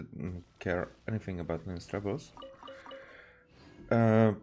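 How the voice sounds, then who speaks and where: A man doing casual gameplay commentary as a streamer, with a halting, hesitant delivery. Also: low-pitched, darkish tone, a little hiss or hum. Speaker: game streamer. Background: game music.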